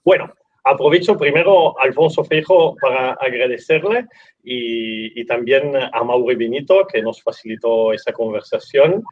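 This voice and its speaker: A man's voice: medium pitch, normal speed, muffled recording, slight background noise. An Italian man hosting a casual interview in Spanish, speaking with an Italian accent and many restarts and fillers. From Italy. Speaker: host.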